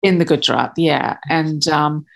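A woman's voice: deep voice, moderate pace, creaky. A woman in her fifties from Malawi, a podcast guest talking about her family, hesitant with many fillers and restarts. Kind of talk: casual interview chat.

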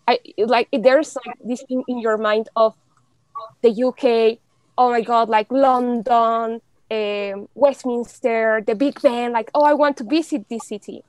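A young woman from Mexico in casual conversation, chatty, with many fillers and an animated, often laughing delivery. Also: a little high, measured pace, dry recording, poor audio. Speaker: podcast guest.

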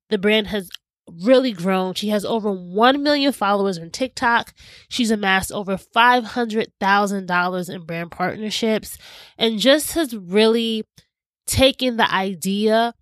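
A woman's voice: mid-range voice, fairly bright tone, no echo. A woman hosting an interview podcast, talking in an upbeat, conversational way and asking eager questions. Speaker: podcast host. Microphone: studio podcast mic.

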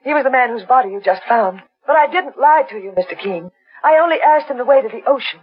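A woman's voice: on the high side, animated, fast talker, lightly acted. An actress playing a remorseful, defensive woman under suspicion, earnest and dramatic, in 1940s radio melodrama. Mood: defensive confession. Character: remorseful, defensive young woman suspected of murder. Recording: muffled, dull 1940s radio recording.

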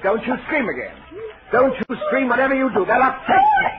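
An actor playing an obsessive, lonely, menacing admirer in a 1940s radio thriller, speaking in a halting, repetitive, intense way. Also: male voice, very high voice, wildly swooping pitch, full character voice. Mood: feverish, unstable. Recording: scratchy, narrow-band 1940s radio recording.

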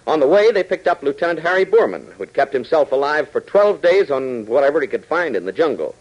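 A man's voice: fairly high pitch, big pitch swings, fairly quick, lo-fi sound. An American man narrating emphatically and dramatically as an announcer in 1940s radio style. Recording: old 1940s radio broadcast recording.

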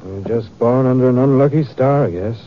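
A man's voice: mid-range voice, fairly quick, close to an everyday voice. A man playing a despairing, theatrical stage actor in a 1950s radio drama, declaiming his woes. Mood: woeful. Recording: muffled, noisy 1950s radio recording.

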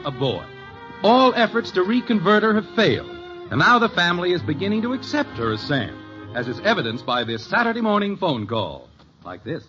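A man announcing and narrating a 1950s radio sitcom from a script. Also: on the high side. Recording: dull vintage radio broadcast. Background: music.

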